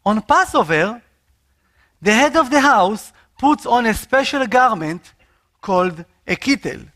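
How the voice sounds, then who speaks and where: A man with an Israeli accent preaches a sermon with earnest, expressive delivery. His voice sits notably high for a man. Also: a little slow. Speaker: missionary.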